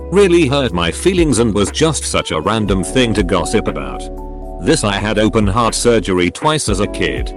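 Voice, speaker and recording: A man with a somewhat low voice reads online posts aloud, one after another. His delivery stays steady and even throughout. Clear background music plays beneath the narration. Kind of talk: reading forum posts aloud.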